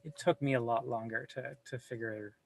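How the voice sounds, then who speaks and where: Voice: mid-range voice, steady tone, brisk, creaky. A guest on a video call chatting casually, rambling and self-deprecating, with casual swearing, recorded at home. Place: home room with a server rack.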